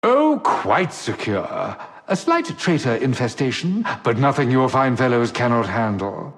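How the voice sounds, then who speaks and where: An actor voicing a flamboyant, teasing rogue operative in a video game, with florid, mock-gallant delivery. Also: male voice, mid-range voice, big pitch swings, a little slow. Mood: jovial, sly. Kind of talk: theatrical video game character acting. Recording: long echoing hall reverb.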